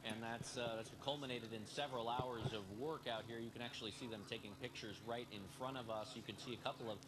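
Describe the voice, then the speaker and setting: Man, news field reporter. Medium pitch, ad-libbed live delivery, noisy outdoor ambience. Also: fairly even intonation, fast talker. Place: outdoors at a roadside crime scene. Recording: clear outdoor traffic ambience.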